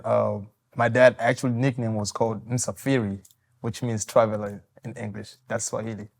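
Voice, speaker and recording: A man in his twenties from Tanzania, answering interview questions politely, softly and with loose, hesitant phrasing. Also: mid-range voice, gravelly. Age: 20s.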